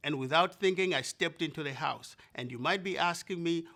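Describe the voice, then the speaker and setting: A man from Zambia telling a personal story to an audience, animated and conversational, often speaking to the listeners directly. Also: a little high, brisk.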